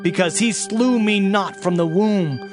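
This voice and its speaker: Solemn, higher-pitched prophet of doom, played by a man. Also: a little slow, over music. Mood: bitter, despairing. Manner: solemn, declamatory, archaic phrasing. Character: anguished, fiery Hebrew prophet pronouncing doom. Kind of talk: dramatised scripture reading.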